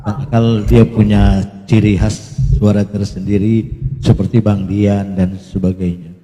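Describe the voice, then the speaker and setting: A man's voice: lowish voice, little pitch movement, measured pace, dark tone, in a large hall, rough recording. A man joining a live stream, making short, firm points in a casual talk. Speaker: debate participant on a stream. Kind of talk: casual conversation.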